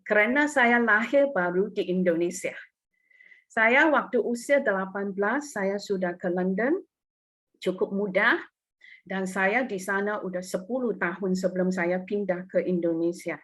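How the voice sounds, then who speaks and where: Hong kong lady sharing her testimony at church, earnest, pauses and restarts a lot. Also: mid-range voice, fairly bright tone. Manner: earnest, with hesitations and non-native phrasing. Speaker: testimony speaker.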